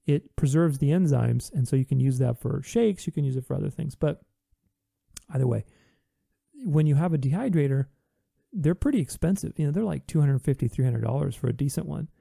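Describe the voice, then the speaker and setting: A man's voice: on the high side, fairly quick, vocal fry, dark tone, no room sound, studio quality. A man hosting a podcast, talking earnestly in a reflective solo monologue, with many restarts. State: recovering from voice loss.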